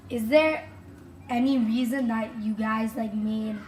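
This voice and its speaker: A woman's voice: fairly high pitch, steady tone, measured pace, a little mellow, echoey room. A woman interviewing her grandmother with curious, casual questions and many "like"s. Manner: curious, casual, says "like" often. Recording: voice from a distance with background noise.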